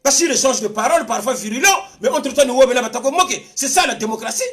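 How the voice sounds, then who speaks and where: A man's voice: very high-pitched, lively intonation, quick pace, a bit bright. A man with a Congolese accent giving an impassioned political monologue, insistent and repetitive, switching between languages. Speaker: political commentator.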